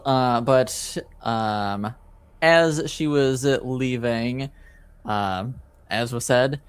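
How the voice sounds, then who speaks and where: Slow, clear-voiced man running a game. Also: medium pitch. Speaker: game master. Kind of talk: casual narrating of a tabletop game.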